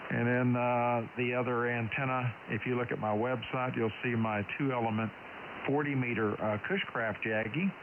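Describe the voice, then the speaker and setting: A man's voice: mid-range voice, fairly even intonation, moderate pace, phone-quality sound. A man chatting casually and folksily as an amateur radio operator, heard through a shortwave radio transmission. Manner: folksy, conversational. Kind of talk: casual radio contact chat. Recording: thin band-limited radio signal with hiss.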